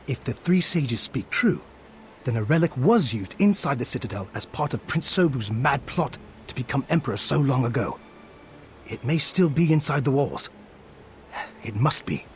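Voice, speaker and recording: An actor playing a learned, courteous mystic ally in a fantasy game, with a formal, bookish way of speaking. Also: male voice, mid-range voice, expressive, normal speed, long pauses, a little hiss or hum, close to an everyday voice. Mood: grave, worried. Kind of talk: scripted fantasy game dialogue.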